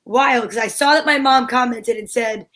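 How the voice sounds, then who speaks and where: A woman's voice: a little high, moderate pace, fairly bright tone. A woman co-host chatting casually and informally on a show. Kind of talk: casual chat.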